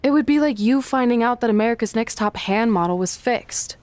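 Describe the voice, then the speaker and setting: A woman's voice: a little high, animated, fairly quick, darkish tone, dry recording, natural voice. Woman acting as earnest wrestler best friend, dry close sound. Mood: sincere, confessional. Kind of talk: video game cutscene dialogue.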